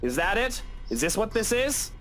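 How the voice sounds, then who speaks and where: A man's voice: high voice, sing-song, moderate pace, fairly bright tone, soft background music, voice pushed up. A man voicing a pompous, sneering wealthy homeowner with a theatrical, mocking manner.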